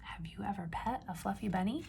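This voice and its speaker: A woman's voice: mid-range voice, creaky, dark tone, a little hiss or hum. A woman reading a picture book aloud to young children like a teacher, asking simple questions and stressing key words. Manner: instructive, asks simple questions and pauses before confirming answers.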